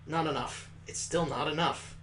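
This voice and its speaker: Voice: medium pitch, steady tone, moderate pace, fairly bright tone, slight background noise, close to an everyday voice, poor audio. A let's player voicing a curious, polite student in a visual novel. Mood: pensive. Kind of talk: visual novel character reading.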